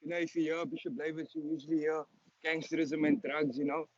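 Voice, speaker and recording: A man's voice: fairly high pitch, flat delivery, quick pace, dry recording. A young man in his early twenties with a Cape Town South African accent, answering interview questions casually and earnestly as a footballer. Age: early 20s. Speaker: professional footballer being interviewed. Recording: dull lo-fi sound.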